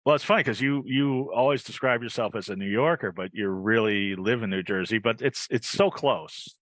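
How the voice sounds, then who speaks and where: A man's voice: on the low side, normal speed, a bit bright, no room sound. A man hosting a casual podcast on a video call, rambling a little and repeating his phrases as he chats and reads plugs. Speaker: podcast host.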